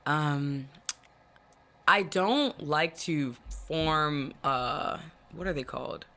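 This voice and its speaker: A young woman chatting as a podcast guest, animated, full of fillers and restarts. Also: sits low, extremely slow. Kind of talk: casual interview chat.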